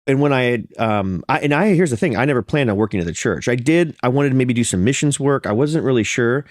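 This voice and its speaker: A pastor being interviewed, talking in an earnest, storytelling way with a slightly congested, nasal sound and frequent restarts. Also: male voice, mid-range voice, lively intonation, fast talker, no echo, clean studio sound. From Florida. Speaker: pastor and podcast guest. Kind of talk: conversational interview.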